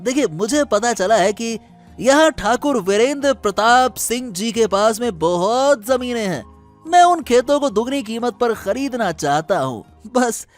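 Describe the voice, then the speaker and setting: Cartoon merchant, played by a man: young, amiable, seemingly simple, high voice with swooping intonation, polite. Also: animated, unhurried, soft background music. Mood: friendly. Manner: polite, chuckling, explanatory. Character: friendly, naive-seeming young diamond merchant, former farmer. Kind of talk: Hindi cartoon moral-story acting.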